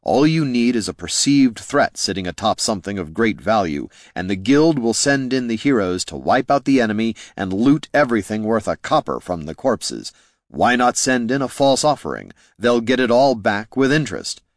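A man voicing a necromancer's son in a fantasy audiobook, explaining things in a measured, bitter, knowing way. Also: lowish voice, sing-song, dry recording. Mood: grim, explaining.

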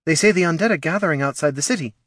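A man's voice: on the high side, very fast, fairly bright tone, no room sound, clean studio sound, voice pushed up, natural voice. Man doing a nervous teenage girl. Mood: hesitant. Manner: hesitant, mumbling. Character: awkward, uneasy adolescent human girl.

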